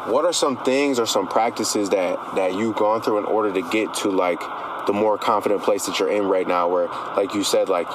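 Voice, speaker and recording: A man hosting a podcast, chatting casually in a hyped, slangy way. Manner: slangy, heavy on "bro" and "man". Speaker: podcast host. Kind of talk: casual hyped interview chat. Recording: noisy line with rumbling background.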